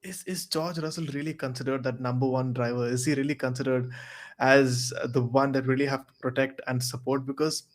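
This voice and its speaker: A man offers casual analysis as a podcast panelist. His voice sits at a medium pitch, and he reasons earnestly, sometimes repeating a phrase as he works through a point. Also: steady tone, fairly quick.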